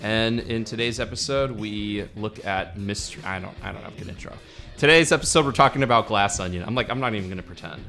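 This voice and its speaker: A man's voice: on the low side, fast, bright, forceful tone, soft background music. A man co-hosting a casual movie review podcast, rambling and restarting his sentences, laughing often. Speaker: podcast co-host.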